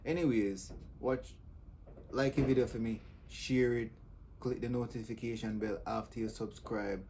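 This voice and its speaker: A man's voice: mid-range voice, steady tone, moderate pace, a little mellow, slight background noise. A man with a Jamaican accent hosting casual, joking reaction commentary. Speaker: host reacting to comedy clips.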